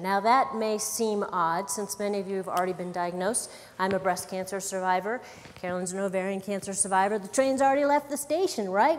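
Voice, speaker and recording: A woman naturopathic doctor giving a lively health lecture, talking directly to the audience. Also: medium pitch, in a large hall. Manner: lively, engaging, talks to the audience. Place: conference hall. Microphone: wireless microphone.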